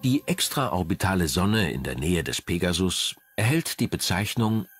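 A man's voice: low-pitched, big pitch swings, normal speed. A man reading scripted narration as a documentary narrator.

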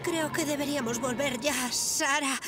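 A woman's voice: high voice, normal speed, over loud music, natural voice. A woman voicing a cautious, anxious little boy in a children's cartoon, whiny and hesitant. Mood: worried. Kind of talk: animated children's cartoon dub.

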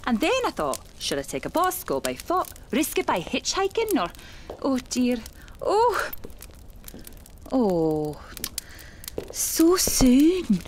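A woman playing a fluttery, awkward and quietly sinister medium who muses theatrically to herself in an audio horror drama. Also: high-pitched, wildly swooping pitch, slow pace, long pauses, slight background noise, heavily acted. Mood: fussy, awkward. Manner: theatrical, exclamatory, musing to herself.

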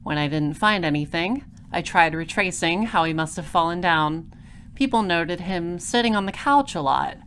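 A woman playing a patient, composed detective, narrating an audio drama in a measured, wry way. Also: fairly low pitch, expressive, a bit bright, slight background noise. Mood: focused narration. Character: composed, patient female space-patrol detective narrating her case.

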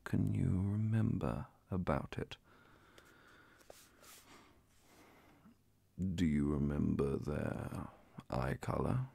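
Man playing a very slow, low, gravelly vampire. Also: dark tone, no echo, heavily acted. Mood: calm, probing. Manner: slow, deliberate, measured questioning. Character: calm, formal male vampire inquisitor, centuries-old authority. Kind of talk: ASMR roleplay, soft-spoken and whispered.

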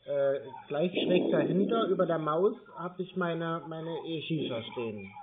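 A man chatting casually and hesitantly, rambling and slow to get to the point. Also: a little high, lively intonation, over a noisy crowd. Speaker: scam-baiting YouTuber playing a naive customer on the phone. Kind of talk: casual phone chat. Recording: narrow, tinny phone-like sound.

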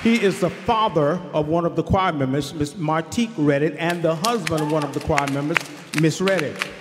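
A university choir director giving gracious, grateful formal remarks during a church service, speaking to an audience in a large chapel. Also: male voice, a little high, moderate pace, very noisy. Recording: long chapel reverb, crowd and music behind.

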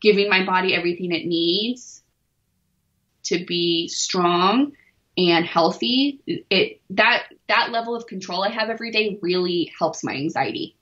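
Woman in her forties, self-deprecating, bright voice, dull roomy audio. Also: medium pitch, unhurried. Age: 40s. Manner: open, self-deprecating, laughs easily. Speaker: interview guest, weightlifter. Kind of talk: candid podcast interview.

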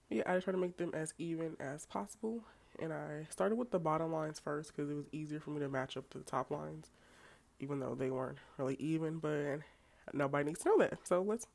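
A woman's voice: low voice, fairly quick, vocal fry, darkish tone. A young woman with a congested, stuffy voice, chatting casually through a makeup tutorial with self-mocking asides. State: congested from a cold. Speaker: beauty vlogger. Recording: faint background music.

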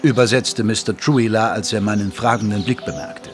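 An actor playing a Victorian gentleman doctor who narrates his detective friend's case in measured, literary audio drama style. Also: lowish voice, close to an everyday voice. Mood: measured storytelling. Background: music under the voice.

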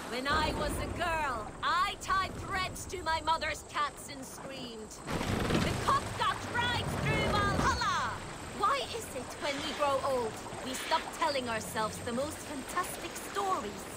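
A woman's voice: high voice, animated, a little slow. An actress playing a bold, fun-loving female Viking warrior, telling stories in a playful, theatrical manner in a video game. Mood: playful, wondering. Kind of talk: dramatic storytelling in a video game. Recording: loud water and boat noise. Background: stream and boat ambience.

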